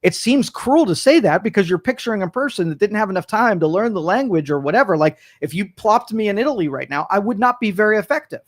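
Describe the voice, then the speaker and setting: A man's voice: high-pitched, lively intonation, quick pace, few pauses. A man hosting a studio talk show, arguing political opinions in a rambling way with many restarts and mock quotes.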